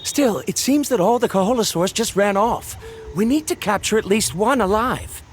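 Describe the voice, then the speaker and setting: Blunt, high-voiced male researcher. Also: lively intonation, moderate pace, noisy background. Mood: eager. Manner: formal, stiff, matter-of-fact. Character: Varandra, blunt, socially awkward male researcher obsessed with his work. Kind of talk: anime video game voice acting.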